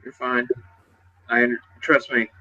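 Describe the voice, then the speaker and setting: A man with a very bright, forward voice at a middle pitch plays a tabletop role-playing game. He moves between narration and in-character talk in a halting, conversational way, on a dull lo-fi recording. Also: little pitch movement.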